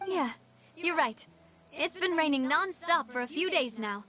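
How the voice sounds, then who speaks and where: A woman playing a confident, frank, warm-hearted young gang leader in a video game, with a polished conversational delivery. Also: high-pitched, animated, unhurried, background noise. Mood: friendly.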